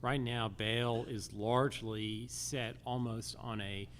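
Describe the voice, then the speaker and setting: A man's voice: medium pitch, steady tone, slow pace, a bit bright, a little hiss or hum. A man answering a question as a judicial candidate at a live forum, in a direct, formal style.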